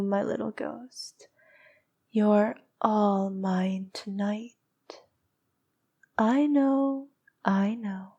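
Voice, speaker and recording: Slow, breathy vampire princess, acted by a woman. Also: fairly high pitch, exaggerated voice. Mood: teasing, fond. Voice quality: soft, breathy, often dropping to a whisper. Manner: slow, cooing, possessive, coaxing. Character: noble but needy vampire princess, dominant yet tender lover. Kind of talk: intimate ASMR girlfriend roleplay.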